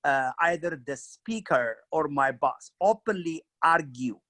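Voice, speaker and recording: Bright-voiced man with an Ethiopian accent. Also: fairly high pitch, lively intonation, unhurried, no room sound. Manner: earnest, storytelling, frequent "you know" and "kinda". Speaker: career mentor guest. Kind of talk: reflective career interview.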